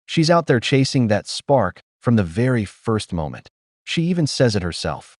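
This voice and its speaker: A man's voice: mid-range voice, big pitch swings, normal speed, no echo, clean studio sound. A man giving opinionated voice-over commentary that criticizes what he is reacting to.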